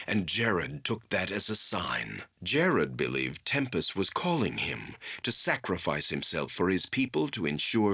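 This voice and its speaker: An actor playing a stern, proud veteran battle priest in a fantasy game, with a deep, raspy voice and terse, declamatory speech. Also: male voice, a bit bright, full character voice.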